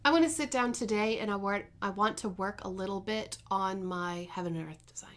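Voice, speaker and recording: A woman in her thirties chats at home about her stitching projects. She rambles and corrects herself as she goes, in an easygoing, conversational way. Her voice sits at a middling pitch. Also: a little fast. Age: 30s. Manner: chatty and rambling, with self-corrections. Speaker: cross-stitch vlogger. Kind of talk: casual chatty crafting vlog.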